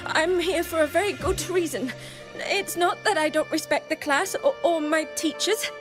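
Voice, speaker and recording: A woman's voice: very high-pitched, normal speed. A woman playing an earnest, easily flustered young female student in a video game, polite and hesitant as she speaks. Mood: panicked, pleading. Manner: hesitant, polite, eager to explain. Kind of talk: scripted game dialogue. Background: music.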